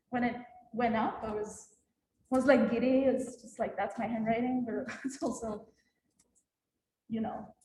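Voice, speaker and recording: Woman artist, native Russian speaker, fairly high voice, reflective and halting; echoey gallery room. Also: darkish tone, slight background noise. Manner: hesitant, reflective, laughs often. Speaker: exhibiting artist. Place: gallery exhibition space.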